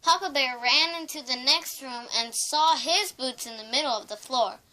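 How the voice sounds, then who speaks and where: Young high-voiced woman reading a storybook. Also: normal speed, sharp tone, poor audio. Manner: careful reading with stumbles and repeated words. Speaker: storybook reader. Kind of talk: children's storybook narration read aloud.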